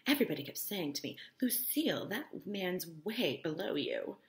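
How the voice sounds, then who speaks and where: A woman's voice: on the low side, very animated, moderate pace, echoey room. A woman voicing a vigorous, snobbish society wife who declares things emphatically in a novel read-aloud. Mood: haughty, vigorous.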